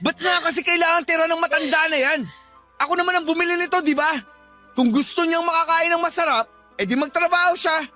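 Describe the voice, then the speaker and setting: An actor playing a scornful, resentful grown son and young father in a Filipino radio drama, confrontational and shouting. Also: very high-pitched, fast, faint music behind, heavily acted.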